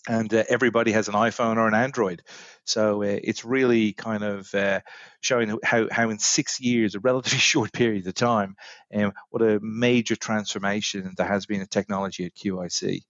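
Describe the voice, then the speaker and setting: A middle-aged Irish man, a technology executive, answering podcast interview questions in a measured way with frequent fillers. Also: fairly low pitch, fairly even intonation, a bit bright, no echo, lo-fi sound. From Ireland.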